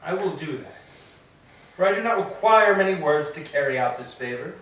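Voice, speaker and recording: A man's voice: a little high, expressive, normal speed, fairly bright tone. A man playing a grand, commanding sea god, speaking formally in a staged reading of Greek tragedy. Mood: commanding, solemn. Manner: formal, declamatory. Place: echoing church interior. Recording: very echoey hall, distant mic.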